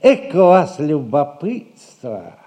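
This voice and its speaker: Shifty tipsy gardener, high-pitched, swooping, self-righteous grumbler for stage comedy. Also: male voice, very animated, slow pace, mellow, muffled recording. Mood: grumbling, defensive. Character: tipsy, shifty old-time gardener, feigning dignity. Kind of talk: theatrical 1960s audio play.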